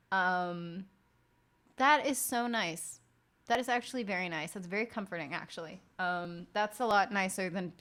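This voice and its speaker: Woman streamer, lower voice, chatty and profane, rambling filler-heavy casual talk. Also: fairly bright tone. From outside the United States. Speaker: streamer and podcast host. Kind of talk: casual late-night chat while working.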